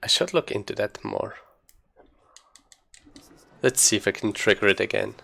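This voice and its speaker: Male gamer, low gravelly voice, casual think-aloud speedrun commentary, some keyboard noise behind. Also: animated, normal speed, sharp tone.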